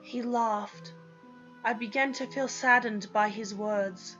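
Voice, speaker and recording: A young woman narrates a first-person tale in a dramatic storytelling style. Her voice is fairly high and very bright. The recording is dull and lo-fi, with music playing behind her. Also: steady tone, slow. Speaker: reader of own story.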